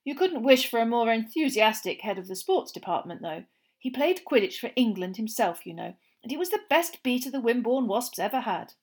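A woman's voice: a little high, very animated, fairly quick. A woman doing a chirpy flustered wizard dad. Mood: cheerful. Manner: eager, nervous, fumbling with words. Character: cheerful, flustered middle-aged wizard father.

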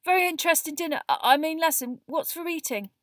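A woman voicing a nervous teenage schoolboy in a storybook, gabbling in an unnaturally high voice. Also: lively intonation, fast, fairly bright tone, dry recording, studio quality. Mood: nervous, flustered. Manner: gabbling, flustered.

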